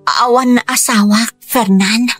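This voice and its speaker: A woman's voice: a little high, steady tone, measured pace, a bit bright, faint music behind. An actress with a Filipino Ilocano accent playing an earnest woman in a rural family radio drama. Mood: regretful, plaintive.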